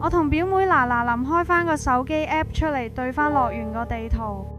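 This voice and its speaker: A woman's voice: high voice, moderate pace, lo-fi sound, natural voice. A woman with a Hong Kong Cantonese accent narrating a scripted first-person ghost story. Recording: prominent music behind.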